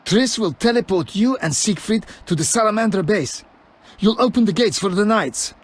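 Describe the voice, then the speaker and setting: A talkative companion in a fantasy game. His voice is high for a man and rough around the edges. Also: expressive, moderate pace. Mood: brisk, chatty. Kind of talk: video game voice acting.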